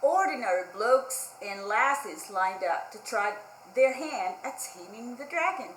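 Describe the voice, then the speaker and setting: A woman's voice: a little high, expressive, measured pace, reverberant, a little hiss or hum, poor audio. A woman hosting a children's storytime, reading a picture book aloud expressively and emphatically, with simple asides to young listeners. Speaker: children's librarian storytime host.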